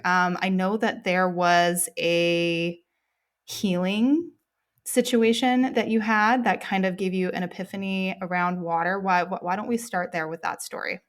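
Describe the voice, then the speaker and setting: A woman hosting a podcast, talking in an enthusiastic, chatty way with a lot of gushing. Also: mid-range voice. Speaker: podcast host. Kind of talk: casual interview chat.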